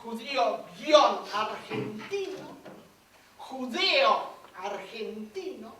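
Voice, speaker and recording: An actress playing a chirpy radio announcer with a sing-song delivery on stage. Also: female voice, a little high, lively intonation, extremely slow, a bit bright, slight background noise, exaggerated voice. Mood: announcing. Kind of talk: comic stage acting as a 1950s radio announcer. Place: theatre stage. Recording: echoey stage sound from far off.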